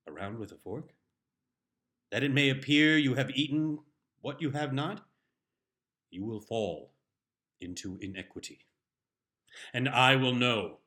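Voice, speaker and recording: A man's voice: on the high side, bright, forceful tone, heavily acted. A man performing a comic reading as a stern, exasperated father who proclaims household laws in pompous, solemn, mock-scriptural style. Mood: quietly menacing. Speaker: reader performing a humorous essay.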